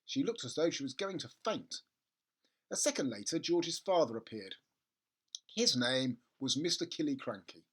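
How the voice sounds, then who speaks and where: A storybook reader narrating a children's tale in an expressive, theatrical way. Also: mid-range voice, normal speed, breathy edge. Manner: animated, theatrical.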